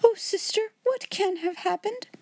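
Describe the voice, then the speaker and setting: A woman's voice: a little high, big pitch swings, normal speed, warm tone, no room sound. A woman voicing a timid, easily bewildered, tearful sister in a storybook, stammering and whimpering. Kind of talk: storybook character voice.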